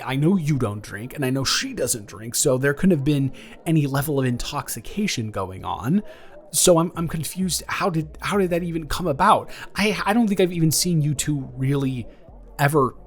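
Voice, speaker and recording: Male actor as awkward, gentle college ex-boyfriend for audio roleplay; average-pitched, halting, earnest, music underneath. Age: young adult. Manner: hesitant, stammering, rambling. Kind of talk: improvised intimate boyfriend roleplay.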